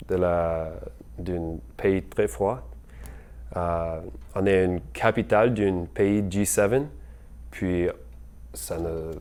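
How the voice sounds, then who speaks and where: A young man giving an unscripted campaign message, hesitant and halting, with many fillers and slips into English words. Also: lowish voice, darkish tone. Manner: apologetic about his French. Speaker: mayoral candidate.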